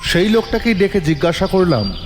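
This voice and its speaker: A man narrating a detective story in the first person, in a measured, suspenseful storytelling manner. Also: high-pitched, big pitch swings, in a large hall, soft background music.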